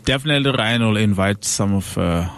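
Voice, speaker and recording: A man's voice: fairly low pitch, brisk, clear, resonant tone. A man from South Africa hosting a casual radio interview, loose and chatty with plenty of fillers and easy laughter. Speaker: radio show host.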